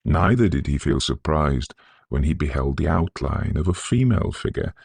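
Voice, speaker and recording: A man narrating a Victorian ghost story in a measured, scholarly storytelling manner. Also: very deep voice, lively intonation, gravelly, husky, dry recording.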